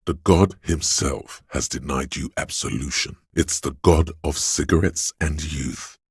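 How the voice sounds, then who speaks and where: An extremely low, very husky male voice of vice for a video game, sleazy and theatrical. He coaxes like a hedonistic tempter, unhurried and indulgent, in a close, dry recording. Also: no room sound, heavily acted. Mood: wistful, intrigued. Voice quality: gravelly, husky. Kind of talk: video game character voice lines.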